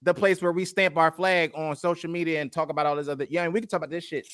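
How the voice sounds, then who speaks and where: Bright-voiced man in casual chat. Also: on the high side, little pitch movement, fast talker, smooth, no echo. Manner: conversational, laid-back, repeats "you know what I mean". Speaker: podcast co-host; married partner of co-host. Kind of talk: casual reality TV review chat.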